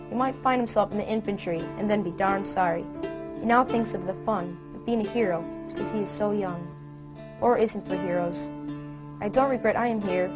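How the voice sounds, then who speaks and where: Teenage girl reading a letter over guitar. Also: mid-range voice. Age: teens. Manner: even, read-aloud delivery. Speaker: student filmmaker.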